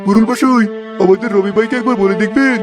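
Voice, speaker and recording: A man's voice: high-pitched, steady tone, fast talker, over music, natural voice. A man voicing an obedient, eager village errand-runner in a cartoon. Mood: dutiful, eager. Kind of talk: Bengali cartoon voice acting.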